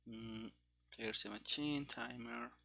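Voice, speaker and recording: A man with a mid-pitched voice talks very slowly, as a programmer thinking aloud. He mutters in fragments, in the casual style of a live-coding commentary. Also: steady tone, vocal fry, bright, forceful tone. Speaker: programmer streaming game development.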